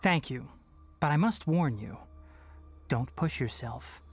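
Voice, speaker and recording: A measured young ranger, lively intonation. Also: male voice, medium pitch. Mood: grave, cautioning. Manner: measured, authoritative, instructive. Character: calm, knowledgeable young male forest ranger, serious and responsible. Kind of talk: English video game voice acting.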